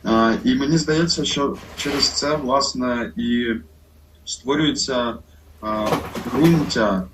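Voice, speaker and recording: A man talking reflectively in conversation, slow and halting with many pauses and fillers. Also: medium pitch, far from the mic, music in the background, poor audio.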